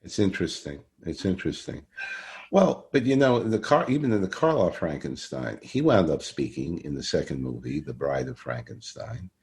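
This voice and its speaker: A man hosting a casual conversational interview, speaking in a halting, rambling way and often repeating himself. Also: rumbling low, sing-song, gravelly, darkish tone. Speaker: podcast interviewer.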